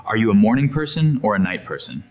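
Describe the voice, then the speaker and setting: A man's voice: fairly low pitch, very animated, fast talker. A man with an Indian English accent hosting a spoken English lesson, asking short practice questions in a scripted drill.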